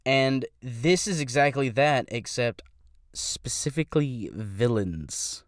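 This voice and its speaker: A man's voice: medium pitch, animated, slow pace, dry recording, studio quality. A young man who does voice impressions, talking casually about his channel in a rambling way, stopping to correct himself.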